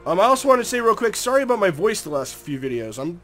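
A man's voice: high-pitched, big pitch swings, quick pace, fairly bright tone, faint music behind. A young man with a stuffy, nasal voice from a cold, giving easygoing, chatty commentary over a game and talking to the creatures on screen. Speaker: gameplay commentator. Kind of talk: casual gameplay commentary.

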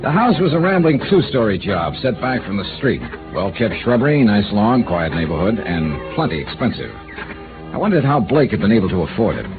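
Dry wry investigator guy, lowish voice, swings around a lot, old tinny radio with music. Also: big pitch swings, a little fast. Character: wry hard-boiled male insurance investigator. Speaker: narrator and lead. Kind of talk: 1950s radio drama narration.

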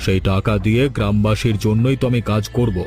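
A man's voice: lowish voice, steady tone, fast talker, mellow, natural voice. A man acting a greedy, bullying village headman in a cartoon folk tale, with a domineering, scheming, scolding manner. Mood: threatening.

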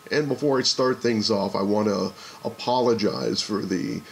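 Hoarse male podcast host with a low, gravelly voice. Enthusiastic and a little rambling. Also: moderate pace, slight background noise. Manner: enthusiastic collector, rambling with restarts. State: hoarse from a cold. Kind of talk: casual solo podcast talk.

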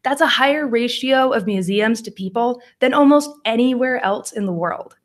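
A woman's voice: on the high side, moderate pace. A young American woman presenting a talk over slides, friendly, with frequent ums and small laughs. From United States. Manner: friendly, laughs often, fillers like um. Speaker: conference speaker.

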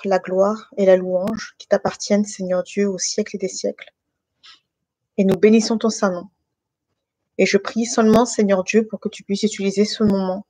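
A woman in her thirties from France, speaking informally and haltingly as she shares a personal testimony with a group. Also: medium pitch, warm tone, no echo. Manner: hesitant, halting delivery with many pauses and repetitions. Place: living room at home.

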